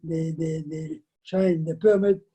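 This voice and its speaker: An elderly man in his nineties tells his life story in an interview. His voice is very dark and husky, and he speaks slowly. He often halts and repeats words before finishing a thought. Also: a little high, steady tone. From Lithuania. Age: 90s. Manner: halting, with repeated words and broken sentences. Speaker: guest, survivor giving testimony. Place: indoors at home, by shelves of framed photos. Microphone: video call, computer microphone.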